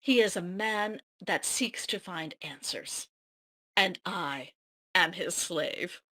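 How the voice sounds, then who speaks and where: A woman's voice: fairly low pitch, takes it slowly, sharp tone. A woman reading scripted fantasy narration aloud.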